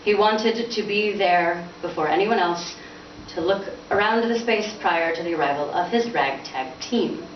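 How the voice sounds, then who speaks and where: A woman author giving an expressive, lightly dramatized live reading of fiction. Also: lowish voice, measured pace, hardly any pauses, recorded from a distance. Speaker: author reading from their novel. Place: indoors in a bookstore. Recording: muffled, echoey, noisy room from afar.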